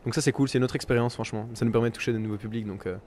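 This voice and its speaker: A young musician from Belgium answering interview questions in quick, chatty explanations. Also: male voice, mid-range voice, fairly even intonation, a little mellow, a little hiss or hum. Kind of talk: festival interview.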